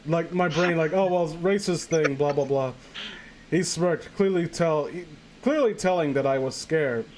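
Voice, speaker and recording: A man's voice: a little high, a little slow, a little mellow. A man hosting a casual, comedic read-aloud of a story, stumbling over his words and going off on tangents. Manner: stumbles over words and digresses while reading. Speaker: host reading a horror story aloud with commentary. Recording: clear background noise.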